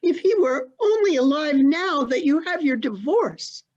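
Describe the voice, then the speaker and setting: A woman's voice: high voice, lively intonation, measured pace, dull audio, crowd noise. A woman acting a mournful, doubting widow in a stage play, earnest and plaintive. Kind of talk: one-act stage play performed on a video call.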